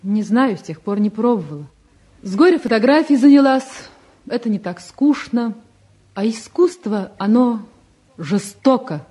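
An actress playing a spirited, frank young woman, a devoted sweetheart, in an expressive 1950s Soviet radio play style. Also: a little high, measured pace, slightly rough. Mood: reflective.

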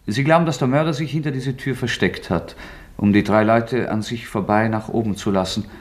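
An actor playing a proud, guarded intellectual suspect in a German radio play, speaking tersely and with formal politeness. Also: male voice, medium pitch, fairly quick, close to an everyday voice. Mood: wary, polite.

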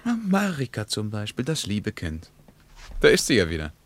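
Low-voiced, ironic cultured man. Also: wildly swooping pitch, normal speed. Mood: sardonic. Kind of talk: radio play dialogue.

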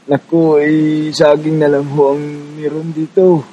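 Weary ailing Filipino farmer played by a man, slow, mellow, radio drama, muffled with faint noise. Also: on the high side. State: coughing, ailing. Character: poor, sick, weary male farmer and father. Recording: dull audio, faint ambience noise.

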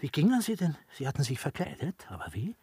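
An actor playing a sly, servile peasant rogue with a fawning, rambling manner in a classic comedy radio play. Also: male voice, a little high, sing-song, quick pace, dark tone, slightly rough, dry recording, studio quality, full character voice. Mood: prying, spiteful. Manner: fawning, wheedling, rambling, interjecting. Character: sly, servile peasant rogue feigning simple gratitude, hateful and thieving.

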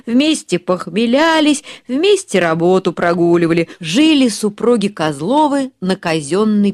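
A woman narrating a scripted short story for radio, expressive and wry in her storytelling. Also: mid-range voice, no room sound, studio quality. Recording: music behind.

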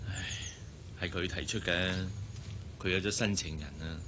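An actor playing a smitten, insecure boss who talks hesitantly and earnestly in a Hong Kong Cantonese radio drama. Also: male voice, low-pitched, steady tone, normal speed, noisy background, lightly acted. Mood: defensive. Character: smitten, insecure boss courting his young secretary.

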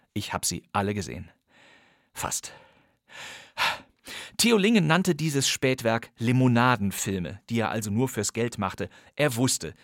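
A man's voice: medium pitch, no echo. A man hosting a radio podcast, reading a script with wry, ironic commentary in a studio. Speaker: podcast host.

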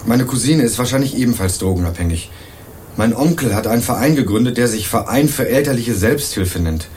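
An actor playing an earnest young male student investigator in a radio crime drama, narrating and questioning in a measured, dry way. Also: mid-range voice, animated, a little mellow, slight background noise, close to an everyday voice. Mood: earnest, probing. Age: young adult. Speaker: narrator and lead character.

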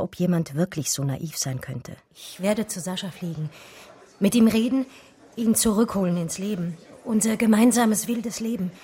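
An actress in a radio drama playing an emotional, wistful art historian, with a breathy, husky voice that often sinks toward a whisper. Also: female voice, mid-range voice, dark tone, close to an everyday voice. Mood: wary, observant, then determined. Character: distraught art historian recalling a lost lover, wistful and urgent. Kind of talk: radio drama dialogue and intimate first-person narration.

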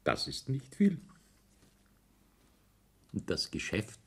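Self-assured schemer, male, rough husky tone, swinging intonation, insistent, old German radio play. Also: medium pitch, huge pitch swings, slow, a bit bright, full character voice. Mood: probing. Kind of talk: 1960s German radio drama acting.

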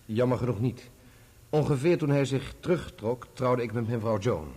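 A man acts an earnest musician testifying in a courtroom radio play. His voice is mid-range and slightly raspy, formal and restrained, with defensive dignity. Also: medium pitch, normal speed, warm tone, close to an everyday voice.

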